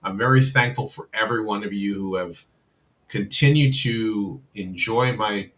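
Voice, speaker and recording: A man with a somewhat low voice, chatting casually to his livestream audience. He rambles, restarts his sentences and fills the gaps with constant filler words, speaking into a computer mic. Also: normal speed, fairly bright tone. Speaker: livestream host.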